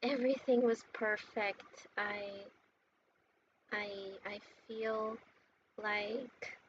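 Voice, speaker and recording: A woman giving casual, heartfelt game commentary in a gushing, sentimental and halting way. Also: a little high, steady tone. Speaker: gaming content creator. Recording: muffled lo-fi mic with noticeable background noise.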